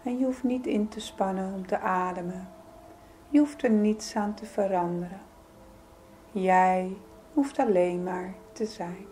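A woman leading a guided yoga nidra meditation in a soothing, gentle, unhurried manner. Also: medium pitch, fairly even intonation, slight background noise. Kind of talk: guided meditation, calm spoken instruction.

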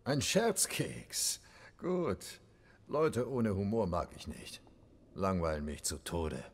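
A man's voice: on the low side, wildly swooping pitch, slow, heavily acted. An actor playing a jaded, sardonic bandit leader and nobleman in a video game, with a languid, capricious manner. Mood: languid, bored. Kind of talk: dramatic video game acting.

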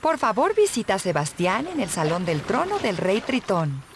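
A woman narrates a video game as its guide. She reads scripted instructions with animated intonation, and clear noise runs behind her voice. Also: mid-range voice, moderate pace.

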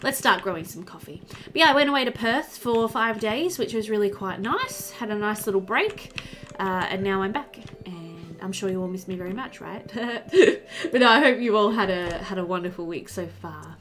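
A woman's voice: mid-range voice, animated, normal speed, fairly bright tone, soft background music. A young woman giving casual, chatty gameplay commentary into a headset, thinking aloud as she goes. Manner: chatty, thinks aloud, talks to game animals. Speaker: let's-play host.

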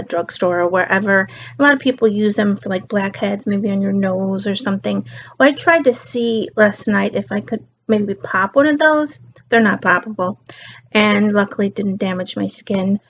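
Vlogger woman, middle-aged, worn out and a bit raspy, rambly chat, dull lo-fi webcam sound. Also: mid-range voice, normal speed. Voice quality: slightly raspy. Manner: rambling and chatty, drifts between topics. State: tired. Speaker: beauty and lifestyle vlogger. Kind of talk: casual chat to camera. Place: living room couch, indoors. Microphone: built-in webcam mic.

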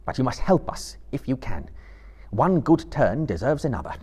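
A man voicing a grave young hero who speaks quietly and sternly. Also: mid-range voice, big pitch swings, normal speed, husky, voice pushed up. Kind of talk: audiobook character voice.

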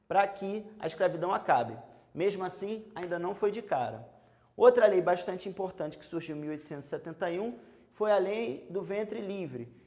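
A man's voice: high-pitched, darkish tone. A young man with a Brazilian accent teaching a history lesson to students in a studio, explaining directly to them, walking and gesturing with many fillers. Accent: Brazilian Portuguese. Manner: walks and gestures while talking. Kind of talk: televised school lesson. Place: studio in front of a chalkboard.

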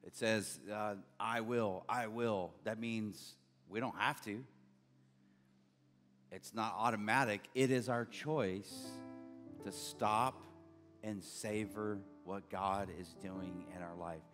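A man's voice: on the low side, steady tone, slow pace, fairly bright tone. A middle-aged man, a pastor preaching a conversational sermon from a stage with a handheld mic, earnest and building to emphatic bursts. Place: large church stage. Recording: long reverb of a church hall, faint music.

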